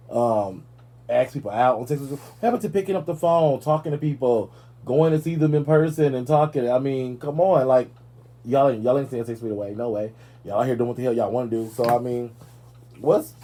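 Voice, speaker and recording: A man hosting a live talk show, chatting casually and sassily about celebrity gossip, with playful asides. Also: medium pitch. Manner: sassy, animated, playful asides.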